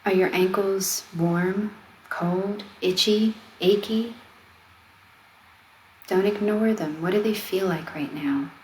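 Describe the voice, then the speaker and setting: A woman leading a guided meditation, speaking in a gentle, soothing, conversational way as she gives simple instructions. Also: mid-range voice, takes it slowly, long pauses, rough recording. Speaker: meditation guide, wellness coach. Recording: steady noise of ocean waves. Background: ocean wave sounds.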